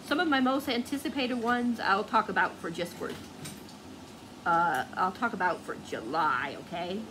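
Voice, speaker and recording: A woman's voice: medium pitch, background noise. A woman book vlogger chatting casually to viewers, gushing and rambling as she searches for words.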